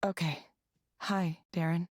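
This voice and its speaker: Composed, mellow-voiced female counselor. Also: fairly low pitch, level tone. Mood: hesitant, sincere. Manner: measured, scripted, clinically polite. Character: composed, restrained female counselor reading an AI's script. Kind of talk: naturalistic visual novel voice acting. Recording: music behind the voice.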